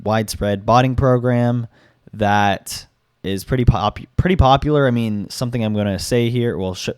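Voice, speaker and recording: A man's voice: fairly low pitch, darkish tone, no room sound, studio quality. A young man who has been sick and sounds stuffed up, giving casual gaming commentary with rambling fillers and restarts. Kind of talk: casual commentary with article reading.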